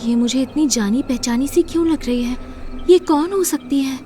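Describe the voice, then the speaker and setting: A woman's voice: high voice, moderate pace, warm tone, slight background noise, lightly acted. A woman playing a timid, curious and caring young woman in an audio drama, with a wide-eyed, earnest manner. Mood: puzzled. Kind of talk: Hindi audio drama acting.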